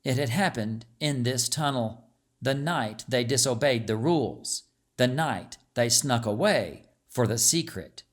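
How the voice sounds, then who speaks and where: Slow-paced male audiobook narrator. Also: medium pitch, lively intonation. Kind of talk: scripted story narration.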